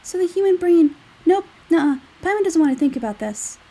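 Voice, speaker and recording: A woman voicing a squeaky, childlike fairy companion from a video game, curious, blunt and exclamatory. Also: expressive, fairly quick, warm tone. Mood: queasy, refusing. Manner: exclamatory, talks in third person. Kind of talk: video game character dialogue read aloud.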